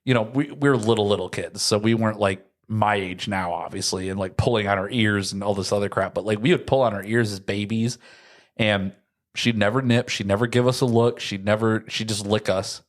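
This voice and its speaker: A man's voice: lowish voice, steady tone, brisk. A young American man chatting casually and telling childhood stories in slangy language, acting out moments as he goes. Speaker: podcast host.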